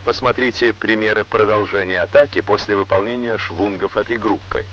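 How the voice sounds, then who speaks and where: A man reading scripted instructional narration in a formal, measured, didactic way, on an old Soviet educational film soundtrack. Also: on the low side, fairly even intonation, a bit bright, lo-fi sound, slight background noise.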